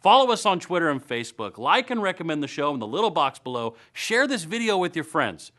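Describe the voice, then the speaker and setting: Animated, higher-voiced American man hosting. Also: brisk. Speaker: web series host. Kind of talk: scripted presenting to camera. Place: indoor studio.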